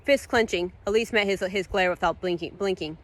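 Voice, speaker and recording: A woman reading her own fantasy story aloud as dramatic narration, expressive, sometimes stumbling and repeating a phrase. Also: mid-range voice, a little fast.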